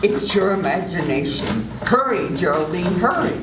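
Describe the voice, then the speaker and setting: An older woman playing a commanding male doctor in a radio play reading, with a measured, stern delivery. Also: lowish voice, sing-song. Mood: tense. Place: senior center event room, live reading. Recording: echoey room with audible noise.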